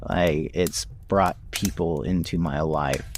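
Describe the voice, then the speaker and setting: Deep, gravelly man, unhurried. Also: steady tone, a little hiss or hum. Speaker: podcast voice actor. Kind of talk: casual, reflective chat.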